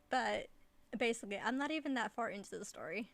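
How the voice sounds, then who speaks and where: A woman chatting casually while streaming a game, giggly and halting as she restarts her thoughts. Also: fairly high pitch, lively intonation, gravelly, no echo. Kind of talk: casual chat while playing a video game. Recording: faint background noise.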